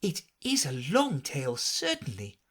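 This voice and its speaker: A man's voice: on the high side, wildly swooping pitch, measured pace, fairly bright tone, husky, pitched above the performer's own voice, full character voice. Man voicing an earnest little girl. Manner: eager, humble, earnest.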